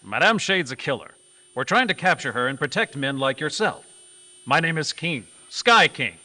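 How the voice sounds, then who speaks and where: A man playing a steady, fair-minded adventure hero in an old-time radio drama. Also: on the high side, moderate pace, long reverb tail, exaggerated voice. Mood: firm, questioning.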